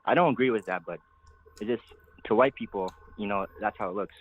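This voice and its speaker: A nineteen-year-old man from Canada calling in to a show, talking hesitantly and haltingly. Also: on the low side. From Canada, Montreal. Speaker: caller. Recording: dry, tinny phone line.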